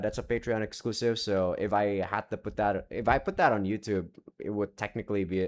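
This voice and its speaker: A young man with a fairly low voice gives a loose, unscripted update to his viewers. He rambles and breaks off mid-sentence, peppering his talk with casual swearing as he searches his screens. It is recorded in his room at home. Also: darkish tone. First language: not Japanese. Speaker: visual novel let's play creator. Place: home room with several monitors.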